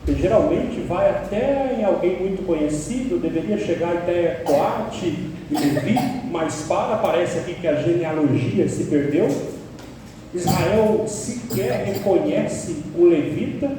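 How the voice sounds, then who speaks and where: A man from Paraná, Brazil, preaching an expository sermon, emphatic and building to sharp peaks on key words. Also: sits high, lively intonation, a little slow, noisy background. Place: church sanctuary. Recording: echoey church hall, distant mic.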